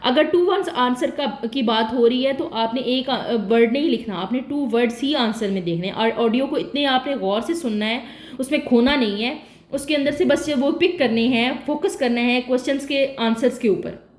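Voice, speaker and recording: A woman talks through exam tips in a casual, explanatory way, often posing a question and then answering it herself. Her voice sits a little high. The room around her is echoey. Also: normal speed. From Pakistan. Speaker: test-prep tutor. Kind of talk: casual spoken tutorial.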